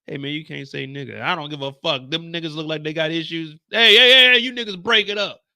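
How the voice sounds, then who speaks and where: A prison guard hollers across the room, as a man puts him on, loud and strained upward. The voice is bright and dry. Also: on the high side, quick pace, creaky, no room sound, voice pushed up, exaggerated voice. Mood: barking. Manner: barking, raised.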